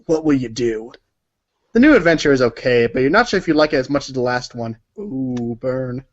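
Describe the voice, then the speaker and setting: A man's voice: mid-range voice, lively intonation, fairly quick, highs cut off. A man narrating a comic read-along story in the second person.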